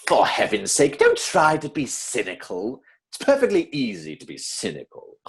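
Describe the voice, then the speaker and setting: A man performs a stuffy young gentleman of stage comedy in a husky voice. His pitch leaps dramatically as he insists on things with earnest exasperation. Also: huge pitch swings, normal speed, heavily acted. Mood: indignant, stuffy. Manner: stuffy, emphatic, indignant.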